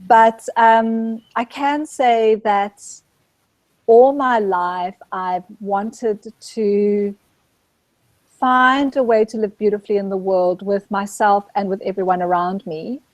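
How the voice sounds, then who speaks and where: Gentle, reflective woman. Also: mid-range voice, steady tone, slow, no room sound. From Zambia. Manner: gentle, searching, with soft restarts. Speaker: guest. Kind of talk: reflective spiritual interview talk.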